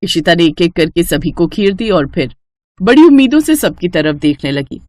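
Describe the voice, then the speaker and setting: A woman's voice: fairly low pitch, animated, normal speed, darkish tone. A woman narrating a scripted bedtime family story as the storyteller.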